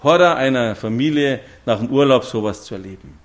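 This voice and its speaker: A man's voice: mid-range voice, expressive, fairly quick. A man, preaching a sermon to a congregation and telling stories with direct questions to his listeners. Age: 50s.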